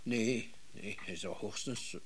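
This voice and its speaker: A man's voice: on the low side, slow, crowd noise. An actor playing a cautious, absent-minded wealthy businessman in a radio play, speaking hesitantly on a slightly noisy recording. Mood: wary, distracted. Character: wary, distracted wealthy businessman husband. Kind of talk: Dutch radio play acting.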